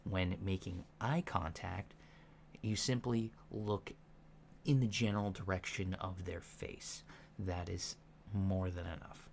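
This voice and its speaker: A soft-spoken man in an ASMR roleplay, playing a calm alien instructor with a halting, deliberate, matter-of-fact delivery, in a lo-fi recording. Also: deep voice, creaky. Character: calm alien instructor briefing disguised recruits on humans. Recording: lo-fi recording with faint background noise.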